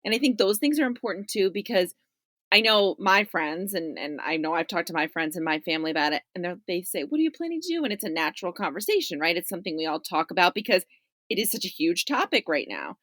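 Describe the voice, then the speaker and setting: A woman's voice: lowish voice, big pitch swings, quick pace, a bit bright, dry recording, clean studio sound. A middle-aged woman talking as a podcast guest in a chatty, emphatic way, often checking in with "right?". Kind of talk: conversational discussion of parents' views.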